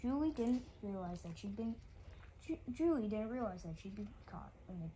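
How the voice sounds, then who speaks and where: A woman's voice: mid-range voice, expressive, normal speed, mellow, slight background noise. A woman reading a rhyming children's story aloud, often stumbling and repeating words as she goes.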